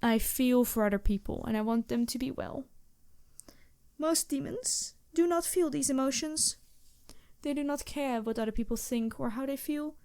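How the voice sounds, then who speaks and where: Woman voicing a high-voiced, regal demon princess. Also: a little mellow, clear, resonant tone. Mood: solemn, reflective. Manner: formal, measured, slightly stilted phrasing. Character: female demon princess, regal, empathetic, teasing and flirtatious. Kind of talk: ASMR roleplay addressed to the listener.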